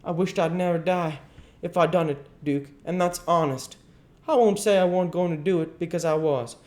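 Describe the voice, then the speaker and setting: A man's voice: a little high, normal speed, a little mellow, pitched above the performer's own voice, close to an everyday voice. A man voicing a blustering old con man with folksy rural speech in a dramatised storybook reading. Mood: wheedling protest.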